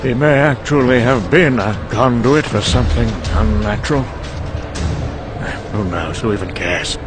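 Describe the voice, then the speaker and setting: A man's voice: mid-range voice, measured pace, over music, natural voice. A man playing a cynical scientist, delivering a dry, weary, sardonic dramatic monologue for a motion comic. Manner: dry, sardonic, weary musing with trailing asides. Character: cynical, sardonic British male scientist briefing an American colleague.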